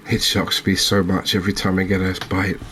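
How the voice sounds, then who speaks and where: A soft-spoken man narrates a game in a relaxed ASMR style. His voice is deep and stays very even, with a hesitant, understated, musing delivery. A faint ambient noise sits behind him. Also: a little fast. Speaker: gaming video creator.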